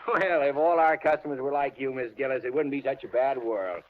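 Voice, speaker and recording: A man's voice: mid-range voice, fairly quick, lightly acted. A man playing a friendly, folksy milkman with an American accent in 1950s radio drama, polite and chatty. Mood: cheerful. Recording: slightly noisy muffled vintage radio recording.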